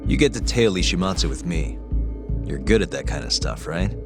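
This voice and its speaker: An actor playing a calm, determined male private detective in a video game, speaking tersely and matter-of-factly. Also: sits low, moderate pace, over music. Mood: focused. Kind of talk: video game cutscene dialogue.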